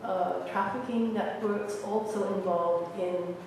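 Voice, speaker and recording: Audience woman, mid pitch, earnest wordy question, far off in a hall. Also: fairly even intonation, takes it slowly, darkish tone. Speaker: audience member asking a question. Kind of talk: question from the floor. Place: university lecture hall. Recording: echoey hall from far off, faint noise.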